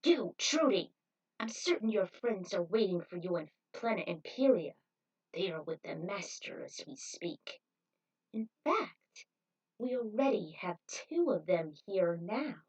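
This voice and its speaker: A woman voicing a commanding cult zealot in a story reading, declamatory and deliberate. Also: medium pitch, animated, slightly rough, far from the mic, dull audio. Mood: smug. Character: serene, commanding cult zealot leading brainwashed followers.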